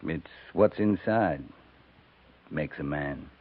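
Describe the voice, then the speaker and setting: An actor playing a grave, kindly American cavalry captain in a 1950s radio western, speaking tersely and solemnly. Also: male voice, extremely low pitch, takes it slowly, exaggerated voice. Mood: grave, probing. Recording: narrow-band 1950s radio recording.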